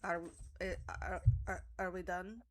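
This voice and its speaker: A woman's voice: on the low side, steady tone, unhurried, crowd noise, close to an everyday voice. A woman playing a determined, caring female adventurer in a tabletop game, speaking in a pointed, earnest way. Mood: resolute.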